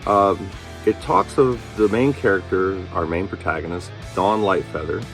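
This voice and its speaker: A man's voice: sits low, moderate pace, soft background music. A man giving an informal promo for his own book as its author.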